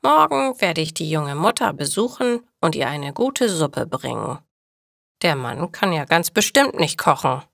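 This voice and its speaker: A woman reading scripted stories for language learners as a narrator, with careful, measured enunciation. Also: low voice, very animated, creaky, fairly bright tone, no echo, clean studio sound. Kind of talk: scripted slow story narration.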